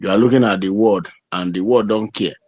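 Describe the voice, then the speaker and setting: Nigerian man, low pitch, deliberate and emphatic, political address, repeated phrases, dry muffled internet audio. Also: a little mellow, dry recording. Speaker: political activist speaker, information minister. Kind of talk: impassioned political address on an online audio gathering. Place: online audio space.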